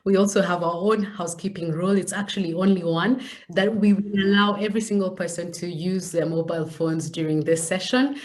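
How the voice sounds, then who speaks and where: A woman's voice: on the low side, fairly even intonation, brisk, few pauses, darkish tone. A woman with a Kenyan accent introducing herself and leading a training webinar as a presenter. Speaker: science communication trainer, session presenter.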